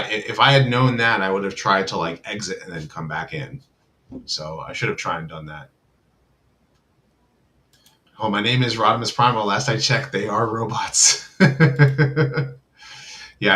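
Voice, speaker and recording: A man's voice: fairly low pitch, sharp tone. A man hosting a casual livestream chat with his viewers, rambling loosely and leaning heavily on filler words. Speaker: livestream host. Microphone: computer mic on a livestream.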